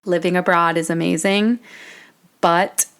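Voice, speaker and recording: A woman's voice: lowish voice, fairly even intonation, measured pace, a bit bright. Chatty American woman podcast host. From United States. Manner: chatty, reflective, digressive. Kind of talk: personal podcast monologue.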